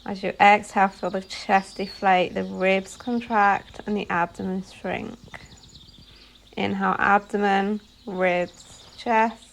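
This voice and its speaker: A woman leading a guided meditation as a yoga nidra instructor, giving soothing instructions phrase by phrase with pauses. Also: medium pitch, little pitch movement, gravelly.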